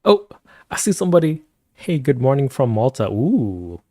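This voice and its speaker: A man chatting casually with viewers on a live stream, speaking softly and keeping his voice down at night at home, and laughing often. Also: huge pitch swings, moderate pace, dry recording, studio quality. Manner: soft-spoken, keeps voice low at night.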